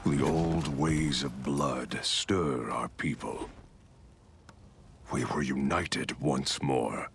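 Very deep, gravelly Viking warrior. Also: male voice, steady tone, noisy background, heavily acted. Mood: solemn, resolute. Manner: slow, measured, terse. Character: grim, terse male Viking warrior narrating his war. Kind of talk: dramatic video game cutscene narration.

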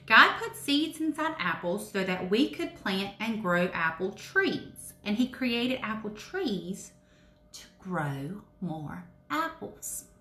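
A woman hosts a devotional story time for children in simple, question-filled phrases. Her delivery is unhurried and very animated in pitch. The room adds noticeable echo around her voice. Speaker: children's story time host, Sunday school teacher. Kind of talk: children's devotional talk addressed to young listeners. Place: indoors in a home room with brick wall and wood cabinets. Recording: roomy echo, faint background noise.

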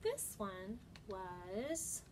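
A woman's voice: medium pitch, very animated, extremely slow, slight background noise. A woman in her thirties speaking to young children as a storytime host, in an encouraging, child-directed way, recorded indoors on a camera mic. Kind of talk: singing songs and reading aloud to young children. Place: indoor room by large windows.

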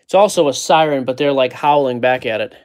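A man's voice: mid-range voice, fairly quick, a little mellow. Joking man ripping card packs. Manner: joking, rattles off player names. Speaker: card break host. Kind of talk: casual card-opening chat. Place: indoor card-breaking table.

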